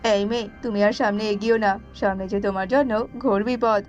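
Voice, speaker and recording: A woman voicing an earnest young prince held captive, in an animated storybook tale. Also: mid-range voice, fairly quick, close to an everyday voice. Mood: warning. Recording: slightly dull audio with background music.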